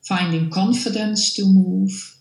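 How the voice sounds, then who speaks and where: A middle-aged woman with a Dutch accent, a native Dutch speaker, explaining her work in a measured way as an interviewed lecturer, pausing to find words. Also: mid-range voice, steady tone, creaky, a little mellow. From Netherlands. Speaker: physiotherapy lecturer being interviewed. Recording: echoey room sound.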